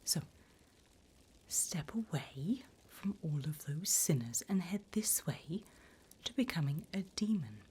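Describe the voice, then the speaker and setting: A woman's voice: fairly low pitch, lively intonation, dark tone, a little hiss or hum. Whispering demon recruiter played by a woman. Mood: coaxing, inviting. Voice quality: breathy whisper, mostly unvoiced. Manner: unhurried, polite, dryly businesslike about torment. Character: female demon recruiter, politely bureaucratic, wickedly encouraging. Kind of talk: whispered ASMR roleplay.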